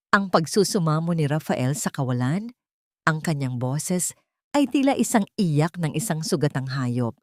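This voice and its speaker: A woman narrating a dramatic love story in a measured, storytelling manner. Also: lowish voice, darkish tone, no echo, studio quality.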